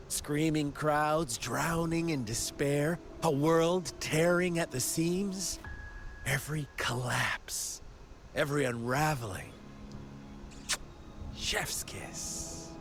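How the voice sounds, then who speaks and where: A man's voice: on the high side, lively intonation, slow pace, faint music behind. A man voicing a mad, chaos-loving video game villain, mocking and nihilistic, with a playful, drawling, theatrical way of taunting. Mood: gleeful, menacing. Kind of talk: dramatic video game voice acting.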